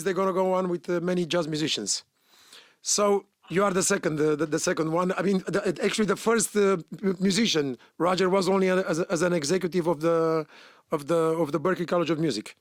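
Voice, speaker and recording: Higher-voiced man hosting, dry close sound. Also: no echo. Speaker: podcast host. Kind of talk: casual podcast interview.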